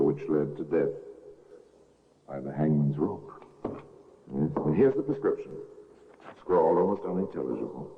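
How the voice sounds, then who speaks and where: Grave, very deep-voiced radio narrator. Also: male voice, a little hiss or hum. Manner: slow, grave and theatrical. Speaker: host and narrator. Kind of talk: 1950s radio drama narration. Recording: tinny restored old radio broadcast.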